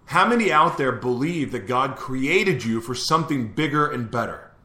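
Male host, mid pitch, earnest preachy delivery, roomy echo. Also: animated, normal speed, bright, forceful tone. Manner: preachy, repeats questions to listeners. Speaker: show host. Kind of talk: live motivational talk to listeners.

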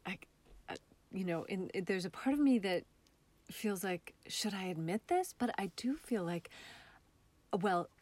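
A woman with an American accent speaking as an interview guest in a casual, reflective way, with thoughtful, halting phrasing. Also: medium pitch, lively intonation, darkish tone, no room sound. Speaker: interview guest, theater artist.

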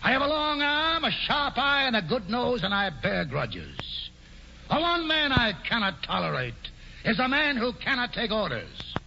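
Grandiose colonial governor, bright lively high voice, muffled old radio broadcast with hiss. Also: male voice, moderate pace, gravelly, heavily acted. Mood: oratorical. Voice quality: grandiose, mock-genial, sly. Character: pompous scheming male colonial governor, middle-aged. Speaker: governor Stuyvesant. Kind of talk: 1940s radio acting.

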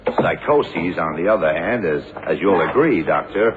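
A man's voice: sits low, expressive, normal speed. A man playing a brusque, stoic, unsentimental blind detective in an old radio drama. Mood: measured, hesitant. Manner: curt, hard-boiled. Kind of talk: 1960s-70s radio drama acting. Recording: tinny, hissy old radio broadcast.

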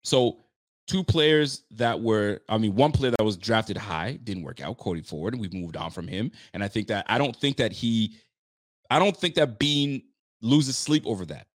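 A man in his late thirties hosting a casual sports talk show, animated and chatty, often repeating himself. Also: lowish voice, normal speed, no echo. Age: 30s. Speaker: sports podcast host.

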